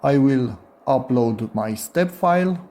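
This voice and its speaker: A man's voice: mid-range voice, expressive, slow, dark tone. A man talking informally and a little hesitantly through a hands-on DIY demonstration, thinking aloud as he goes. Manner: informal, hesitant, self-narrating. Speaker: host of a DIY electronics channel.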